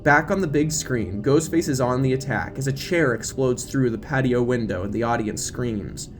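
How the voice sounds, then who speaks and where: A man narrates a horror audio drama from a script. His delivery is dramatic storytelling, with music clearly playing underneath. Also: medium pitch, normal speed.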